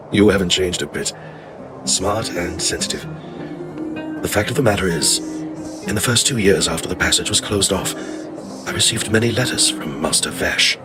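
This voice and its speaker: An actor playing a courteous, loyal older family retainer in a video game, speaking formally and deferentially. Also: male voice, sits low, moderate pace, long pauses, close to an everyday voice. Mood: confiding. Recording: music behind the voice. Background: music.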